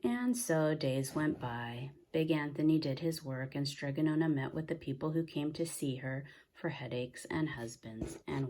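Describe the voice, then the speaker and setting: Female storybook reader: low, breathy-husky voice, deliberate and expressive children's read-aloud delivery.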